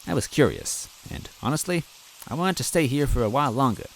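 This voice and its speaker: A man's voice: mid-range voice, lively intonation, brisk, creaky, slight background noise, poor audio. A man reading a fan story aloud in first person, earnest and timid in tone. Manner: earnest and timid, self-deprecating. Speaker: story reader.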